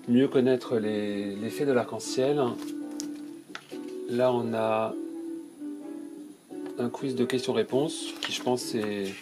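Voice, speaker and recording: A man with a cold, unboxing toys for his channel and describing each item in a hesitant, casual way with many fillers. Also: fairly low pitch. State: stuffy from a cold. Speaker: toy unboxing youtuber.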